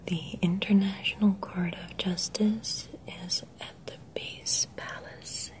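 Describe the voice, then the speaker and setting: Slow whispering Dutch woman, ASMR. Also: medium pitch, steady tone. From Netherlands. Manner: slow, gentle whisper close to the ears. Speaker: ASMR creator. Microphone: binaural microphone.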